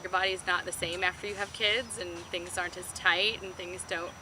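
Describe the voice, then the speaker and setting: A woman's voice: lowish voice, fairly even intonation, normal speed. A woman giving candid, matter-of-fact interview answers. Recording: noisy background.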